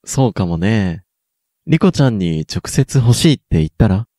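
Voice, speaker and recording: A man's voice: lowish voice, big pitch swings, normal speed, no echo, studio quality. A young man playing a teasing, supportive schoolboy in a radio drama. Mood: advising. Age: young adult. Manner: playful, gently needling. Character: teasing, supportive schoolboy best friend Ayato. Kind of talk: Japanese student radio drama acting.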